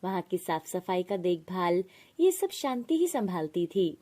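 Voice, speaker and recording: A woman's voice: lowish voice, sing-song, a little slow, warm tone. A woman reading a scripted moral story as its narrator.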